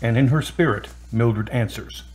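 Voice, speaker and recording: A man's voice: mid-range voice, moderate pace, a little mellow, breathy edge, close to an everyday voice, low-quality recording. A man narrating a scripted audio drama as its storyteller.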